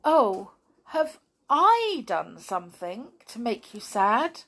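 A woman acts out a kindly male sailor doll from a children's storybook. He sounds earnest, anxious and coaxing, with a raised pitch that moves up and down a great deal. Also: sing-song, a little slow, darkish tone, exaggerated voice. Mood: alarmed.

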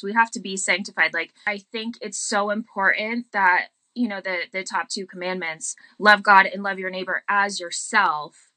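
Woman chatting about faith, bright voice, dry close sound, thoughtful n emphatic, explains stuff. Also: medium pitch, no echo, poor audio.

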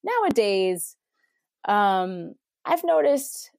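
A woman's voice: medium pitch, very animated, very slow, vocal fry, a little mellow, no room sound. A woman in her thirties from Mexico, talking as an interview guest in a chatty way, laughing often and restarting her sentences. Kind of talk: casual conversation.

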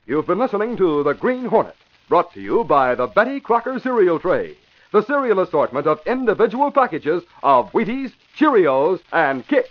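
High-voiced, animated American radio announcer. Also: male voice, normal speed. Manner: polished, emphatic salesmanship. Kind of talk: 1950s radio announcing, scripted narration and advertising. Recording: dull vintage radio broadcast.

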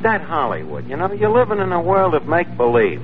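A man's voice: a little high, wildly swooping pitch, fairly quick, music in the background. An actor playing a brash, slangy New York barkeep and would-be ladies' man in 1940s radio comedy. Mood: cocky, flirtatious. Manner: streetwise, slangy, wisecracking. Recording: noisy, muffled vintage radio broadcast.

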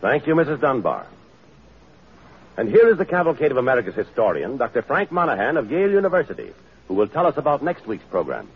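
A male announcer from old-time radio, formal and polished. He talks quickly with a very lively pitch, through a muffled broadcast recording. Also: breathy edge. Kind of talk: 1940s scripted radio announcing.

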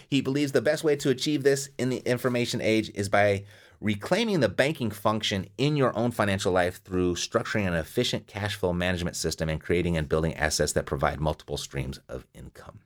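Low-voiced motivational podcast host man. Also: fairly bright tone. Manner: motivational, repeats phrases for emphasis. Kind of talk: energetic podcast monologue and interview.

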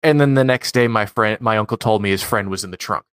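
A man's voice: on the low side, quick pace, a bit bright, smooth, no room sound, studio quality. A man co-hosting a podcast, telling casual anecdotes in a wry, self-deprecating way. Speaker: podcast co-host.

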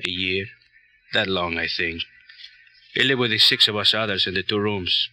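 Deep-voiced, weary Puerto Rican immigrant. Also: male voice, bright, forceful tone, no echo, poor audio. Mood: resigned. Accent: Puerto Rican Spanish. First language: Spanish. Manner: halting, resigned, questioning in simple broken English. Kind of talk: old-time radio crime drama acting. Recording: old radio recording, faint noise.

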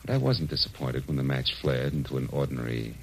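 An actor playing a wry, easygoing, flirtatious private detective in a 1940s radio drama. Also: male voice, a bass voice, sing-song, quick pace, noisy background, heavily acted. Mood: wistful, wry narration. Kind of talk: 1940s radio drama acting and first-person narration. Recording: old radio recording with music behind.

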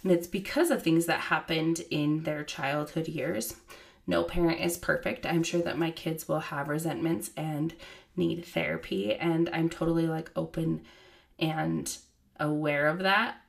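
A woman in her thirties hosting her own podcast as a child therapist, chatting casually with lots of "like" and "you know", a little hoarse from a cold. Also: deep voice, fairly even intonation, a little slow. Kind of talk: casual solo podcast talk.

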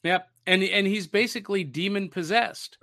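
A man with a high, bright voice chats casually as a podcast host. He talks nostalgically about pop culture and often pauses, feeling his way toward the next phrase. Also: creaky.

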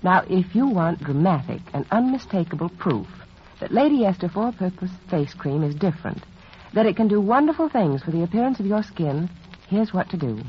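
A woman delivers a scripted 1940s radio beauty pitch. She is earnest and confiding, with very varied intonation. The recording is dull, with light noise. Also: very animated, normal speed. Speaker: beauty authority, advertising spokeswoman.

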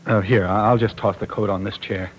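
An actor playing a tired, considerate father with measured, polite speech in an old radio soap opera. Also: fairly low pitch, fairly even intonation, breathy edge, rough recording. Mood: resigned. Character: weary, considerate, well-spoken father.

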